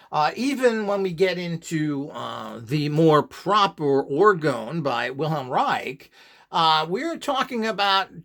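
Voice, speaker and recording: Male instructor with a slightly high, highly animated voice; dismissive, ranting delivery. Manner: scornful, ranting, full of rhetorical questions. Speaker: radionics instructor. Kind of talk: opinionated instructional monologue.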